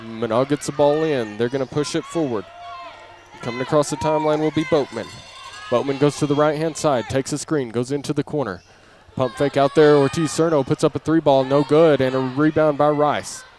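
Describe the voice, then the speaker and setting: A man's voice: on the high side, fairly even intonation, brisk, darkish tone. A man doing live audio play-by-play of a basketball game in clipped running phrases that lift on big plays. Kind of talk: live radio-style sports commentary. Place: indoor basketball gym during a game. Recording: clearly noisy live gym audio. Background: gym ambience, bouncing basketball.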